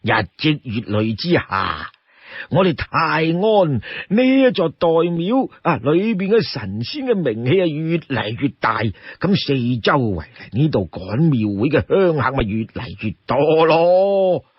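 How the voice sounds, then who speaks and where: A male storyteller plays a folksy old man from a storybook, husky and slow. His pitch swings freely as he sighs and confides like a helpful local. The recording is dry and a little dull. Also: no room sound, heavily acted. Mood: proud, explaining. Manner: animated, drawn-out sighs and confiding asides. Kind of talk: dramatized audiobook storytelling. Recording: dull, lo-fi, dry studio sound.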